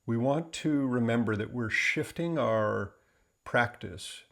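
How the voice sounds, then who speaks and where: A man leading a guided meditation in a gentle, unhurried, deliberate way, leaving long pauses between phrases. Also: mid-range voice, vocal fry.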